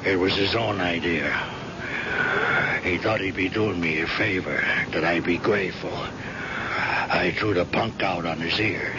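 An actor playing a bitter, gruff retired gangster confined to an iron lung in an old-time radio drama, talking in defensive tough-guy slang. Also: low voice, measured pace, long pauses. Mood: defensive, insistent. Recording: muffled, very noisy vintage radio recording.

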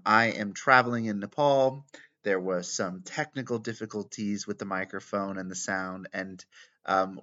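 A man hosting a podcast interview in a conference room, chatty with many ums and pauses. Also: fairly low pitch, sharp tone. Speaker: podcast host. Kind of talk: casual interview. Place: conference room with poor acoustics. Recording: lo-fi, dull sound.

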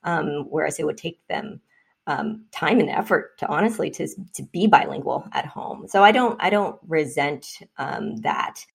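Woman guest, born in vietnam, deep voice a bit raspy, thoughtful and unhurried. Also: expressive. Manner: reflective, measured, frequent um fillers. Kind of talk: conversational interview.

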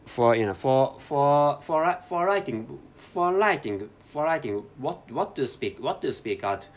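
A man with a Japanese accent talks alone into his podcast mic from a hotel room. His English comes slowly and haltingly, full of repeated words, false starts and careful self-corrections, at an ordinary middle pitch. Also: expressive. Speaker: podcast host. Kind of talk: unscripted solo podcast monologue.

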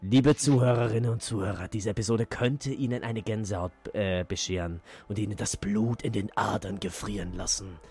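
A man's voice: fairly low pitch, fairly even intonation, normal speed, few pauses, slightly rough. A man hosting a podcast in a casual, playful, jokey way, with swearing and asides. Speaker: podcast host. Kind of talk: casual chat with playful intro reading. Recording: loud music behind the voice. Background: eerie ambient music.

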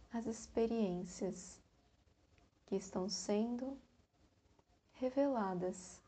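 A Brazilian woman guiding a meditation in a soft, breathy, calm voice, leaving long pauses between short phrases. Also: mid-range voice. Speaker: meditation guide. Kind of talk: guided meditation.

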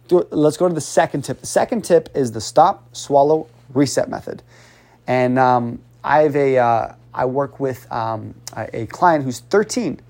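A man giving casual coaching advice on speaking, animated and repetitive, often sighing and urging the listener on. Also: fairly high pitch. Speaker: speech coach.

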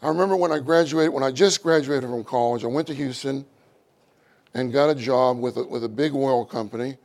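A middle-aged man with a loud voice, preaching a sermon with animated, acted-out quotes. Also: brisk. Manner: animated, acts out quotes with rising pitch.

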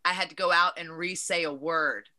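Southern lady chatting, bit lower voice, bright, trails off n restarts. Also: steady tone, moderate pace. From Virginia, US. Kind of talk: casual chat.

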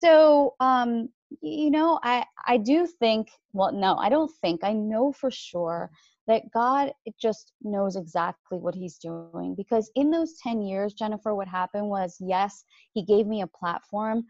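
A woman as a podcast guest, talking about her faith in a lively way and jumping up in pitch when she quotes others. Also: measured pace, no room sound, lo-fi sound. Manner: animated, jumps pitch when quoting others. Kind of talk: faith-based podcast conversation.

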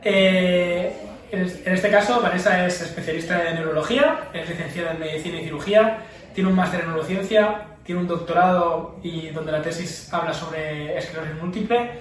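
A man's voice: high-pitched, steady tone, normal speed. Man hosting a podcast, echoey room. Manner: brief backchannels, reads guest introduction. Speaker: podcast host. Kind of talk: podcast interview. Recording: echoey room, distant mic.

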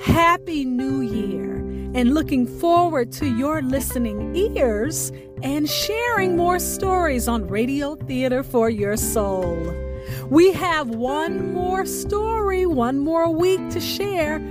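A woman hosting a podcast, speaking in scripted, deliberate and theatrical phrases as she presents and recites. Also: high-pitched, animated. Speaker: podcast host. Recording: music behind the voice. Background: music.

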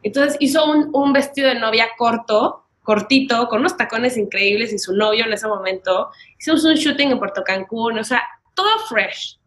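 A woman's voice: fairly high pitch, moderate pace. A woman in her early thirties with a Mexican accent, telling her story casually and animatedly as a podcast guest, often acting out bits of dialogue. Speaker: podcast guest, wedding planner.

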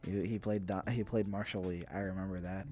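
A man's voice: low-pitched, little pitch movement, a little fast. A man co-hosting a podcast in casual chat, emphatic and prone to repeating himself. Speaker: podcast co-host. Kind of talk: casual nerd-news chat.

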